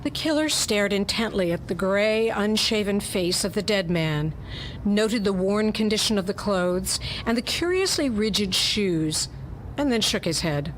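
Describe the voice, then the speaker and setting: A woman presents and narrates for a radio theater group in a medium-pitched voice. There is faint noise behind her in the studio. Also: lively intonation, a little slow, rough recording. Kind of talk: casual radio interview and story narration.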